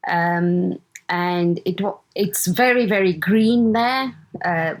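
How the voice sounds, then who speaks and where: Woman in her 50s from uganda, lowish voice, chatty, pauses to find words. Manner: chatty, halting, searches for words. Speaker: guest, host's mother. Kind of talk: casual conversation.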